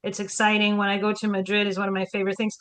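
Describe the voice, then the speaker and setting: A woman's voice: mid-range voice, very fast. A woman from Spain with a Spanish accent, a historian co-hosting a casual podcast chat, telling stories with rhetorical questions and acted-out quotes. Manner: animated storyteller, rhetorical questions, acts out quotes. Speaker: historian co-host.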